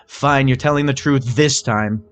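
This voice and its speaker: A man's voice: medium pitch, a little slow, pitched above the performer's own voice, natural voice. A man voicing a smug male pony thief, arrogant and mocking, in a storybook reading. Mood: cocky. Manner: sneering, arrogant.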